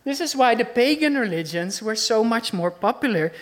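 A woman's voice: on the high side, animated, moderate pace. Dutch-accented woman preaching earnestly. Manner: earnest, conversational preaching with rhetorical questions. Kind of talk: sermon.

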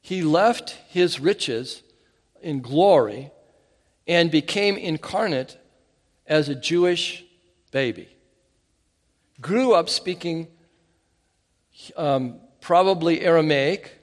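Animated male preacher in a hall. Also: fairly high pitch, slow pace, pauses often. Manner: animated, emphatic, with frequent restarts. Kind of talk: sermon. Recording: hall reverb.

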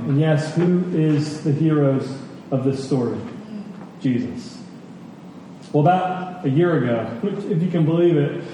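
A man preaches in a high-pitched voice, posing questions and repeating phrases for emphasis. The sound is very echoey and distant from the mic, as in a large church hall. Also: mellow, slight background noise. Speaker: pastor preaching. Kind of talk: sermon to a congregation. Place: large room at a church gathering.

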